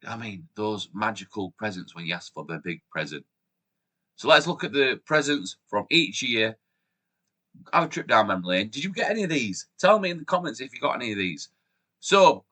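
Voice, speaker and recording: A man in his forties with a Yorkshire accent, hosting a casual countdown vlog in an upbeat, chatty way while sounding chesty from a cold. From Yorkshire, England. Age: 40s. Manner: chatty and upbeat, lively jumps in pitch and loudness. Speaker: YouTube host. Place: room at home with shelves behind the camera.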